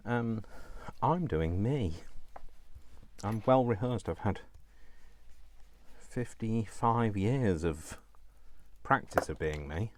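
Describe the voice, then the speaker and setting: A man in his fifties chats at home as he crafts, drifting into jokey asides. His voice sits very low. Faint background noise and small clicks come through. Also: expressive, slow pace, pauses often, darkish tone. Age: 50s. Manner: rambling, self-mocking, jokey asides. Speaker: crafting channel host. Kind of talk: chatty crafting vlog.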